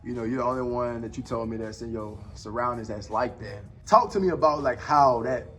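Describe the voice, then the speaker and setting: A man's voice: mid-range voice, fairly quick, a bit bright, soft background music. Laid-back young man, slangy host. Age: 20s. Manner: laid-back slang, calls people "bro" and "dog". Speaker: podcast host. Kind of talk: casual chat.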